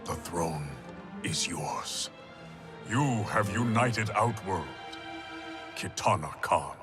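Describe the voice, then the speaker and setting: A man's voice: low voice, lively intonation, slow pace. A man playing a proud, weary warlord in a video game cutscene, speaking in formal, stately phrases. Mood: solemn, grateful. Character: proud, weary male warlord ruler of a fantasy realm. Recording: loud music behind the voice.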